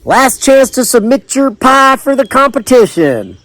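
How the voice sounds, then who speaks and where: Storybook role: male reader playing a showman barker, high, swooping, drawn-out calls to the fairground. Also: wildly swooping pitch, a bit bright, no room sound, exaggerated voice. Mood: calling out. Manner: drawn-out hawking. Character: loud carnival caller, brash showman at county fair.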